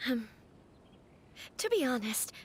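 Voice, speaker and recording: An actress playing a gentle, earnest young noblewoman in a video game, speaking politely and formally with some hesitation. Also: sits high, sing-song, slow, slight background noise, full character voice. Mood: earnest, nervous.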